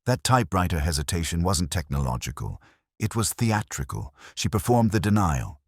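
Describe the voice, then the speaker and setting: A man reads as a calm, shrewd male police inspector in an audiobook mystery. The voice is extremely low and deliberate, quiet and courteous, with a dry sound. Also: husky, no room sound, heavily acted. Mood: quietly certain.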